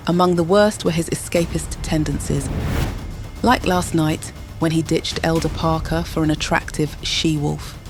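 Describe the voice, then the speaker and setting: A woman with a low voice tells a story in dramatic, measured narration. Clear music plays under her the whole way. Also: steady tone. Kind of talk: scripted audio drama narration.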